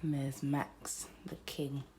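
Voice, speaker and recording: A woman reading a children's picture book aloud in an expressive, theatrical way, with deliberate phrasing for young children. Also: rumbling low, a little hiss or hum. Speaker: storybook reader.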